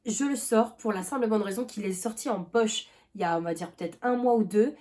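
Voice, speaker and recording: A woman's voice: medium pitch, brisk, darkish tone. A young woman vlogging about books in a loose, rambling chat, full of fillers and restarts, with a cough now and then. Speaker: book vlogger. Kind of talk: casual chat.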